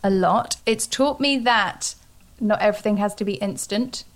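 A woman's voice: mid-range voice, normal speed. A British woman in her thirties chatting informally and animatedly as she co-hosts a casual book discussion. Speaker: podcast co-host.

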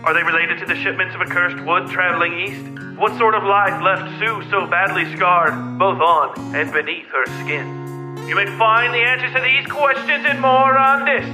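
A man's voice: high-pitched, fairly even intonation, normal speed, low-quality recording. A man reading a scripted story recap and teaser in a theatrical, suspenseful way. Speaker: podcast narrator giving recap and teaser. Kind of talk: dramatic scripted narration. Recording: music under the voice. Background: music.

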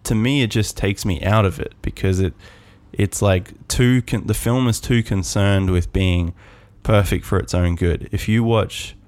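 A man hosting a podcast, musing on his own in a calm, soft voice, rambling with many fillers and restarts. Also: fairly low pitch, measured pace, few pauses, no room sound. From Australia. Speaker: podcast host. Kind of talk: casual solo musing.

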